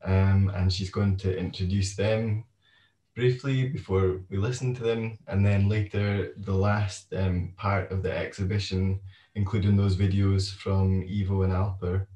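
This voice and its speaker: A man with a low, flat voice moderates an online event and announces breaks and the running order. He sits some way from his microphone, so his voice sounds roomy and distant. He speaks in a relaxed, practical way. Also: measured pace. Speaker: event host. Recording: roomy sound, far from the mic.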